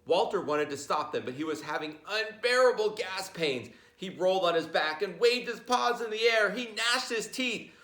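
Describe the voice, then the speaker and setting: A man reading a children's picture book aloud in an informal, jokey, expressive way, with chatty asides. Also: high voice, moderate pace, few pauses, echoey room.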